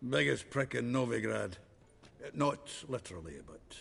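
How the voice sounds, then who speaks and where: An actor playing a gruff, roguish dwarf in a video game, blunt and wry, with a gravelly, husky voice. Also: male voice, mid-range voice, expressive, unhurried, fairly bright tone, long reverb tail, full character voice. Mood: wry warning.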